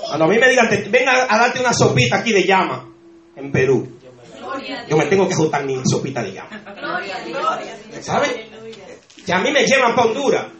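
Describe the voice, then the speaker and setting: Dominican male pastor, high and bright, booming in an echoey church, congregation audible. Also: sing-song, normal speed, pauses often, a crowd in the background. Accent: Dominican Spanish. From Dominican Republic. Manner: exuberant preaching with frequent shouts of praise and laughter. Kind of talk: sermon. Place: church hall.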